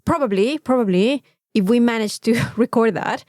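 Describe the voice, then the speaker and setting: A woman's voice: a little high, normal speed, darkish tone, no echo, studio quality. Effusive Mexican woman chatting, closely recorded. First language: Spanish. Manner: effusive, emphatic repetition. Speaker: podcast co-host. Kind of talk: casual chat, anecdotes.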